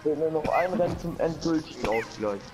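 Lively laid-back man teasing a friend. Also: on the high side, brisk, music in the background. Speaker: co-player. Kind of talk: casual let's-play chat.

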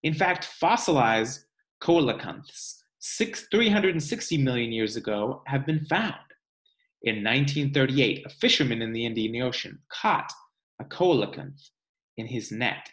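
Male, 30s, unhurried picture-book reader, deliberate and pausing, recorded at home on a device mic. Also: mid-range voice, animated, a bit bright. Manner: deliberate, pausing, musing asides. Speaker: read-aloud reader. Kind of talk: reading a children's nonfiction picture book aloud, with asides. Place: small indoor room at home.

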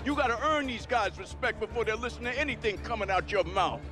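A man playing a gruff, impatient middle-aged football coach in a video game drama. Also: very high-pitched, animated, fast talker, music in the background. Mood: stern. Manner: gruff, scolding, commanding. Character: stern, impatient, hard-nosed male football coach. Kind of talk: scripted game drama acting.

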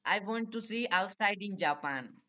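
Bright-voiced young Indian man, halting speech. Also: high-pitched, lively intonation. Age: young adult. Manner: halting, repetitive questioning in simple English. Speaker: video call vlogger. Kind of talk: casual chat with strangers. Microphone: phone on a video call.

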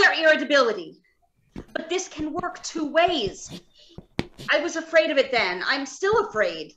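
Earnest, troubled doctor, played by a woman: high, sharp, deliberate radio-drama delivery. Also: heavily acted, rough recording. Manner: emphatic, deliberate, with dramatic hesitations. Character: earnest, uneasy robot scientist, a doctor. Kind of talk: old-time radio drama acting. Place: home, remote video call.

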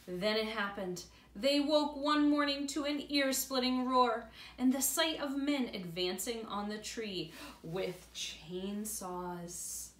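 High-voiced woman reading to children, roomy sound. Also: slow pace, fairly bright tone. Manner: explanatory, addressing young children. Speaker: teacher reading to students. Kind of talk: picture book read-aloud for children. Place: indoor room at home, by glass door. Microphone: camera a few feet away. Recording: roomy echo, faint room noise.

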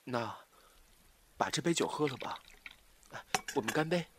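An actor playing an obsessive, scheming jilted lover in a Chinese audio drama, swinging from soft pleading to taunting menace. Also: male voice, medium pitch, animated, unhurried, very noisy, lightly acted. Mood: plaintive coaxing.

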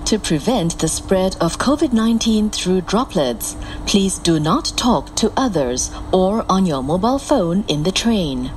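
A woman making scripted train announcements in a formal, clearly enunciated, measured manner. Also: mid-range voice, expressive, very noisy. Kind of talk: scripted recorded transit announcements. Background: loud moving train ambience.

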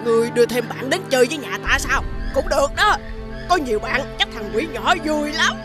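A woman's voice: sits high, expressive, a little fast. A woman voicing an imperious demon mother in a children's fairy-tale cartoon, with a commanding, haughty manner. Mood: amused. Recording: music behind the voice.

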